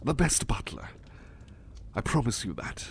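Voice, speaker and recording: A man playing an eager, courteous butler in an ASMR roleplay, speaking formally and politely with flustered, excitable stammering. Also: mid-range voice, unhurried, vocal fry, a little hiss or hum. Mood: grateful, excited. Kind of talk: ASMR roleplay acting, personal attention.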